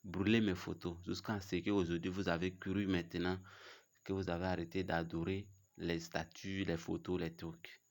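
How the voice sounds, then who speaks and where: Man with a cold, deep voice, preaching to viewers, halting and insistent. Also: little pitch movement. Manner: challenging, repetitive, halting. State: has a cold. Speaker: religious video host. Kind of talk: casual religious preaching to camera.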